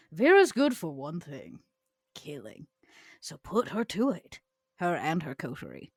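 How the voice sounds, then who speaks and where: A shrewd, proud female vampire , acted by a woman with a lively, swooping delivery. She is theatrical and cutting, choosing words deliberately, in a dry close recording of a tabletop game. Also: low voice, husky, no room sound, exaggerated voice. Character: Vera, proud, shrewd, long-lived female vampire enforcer. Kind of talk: improvised tabletop roleplay.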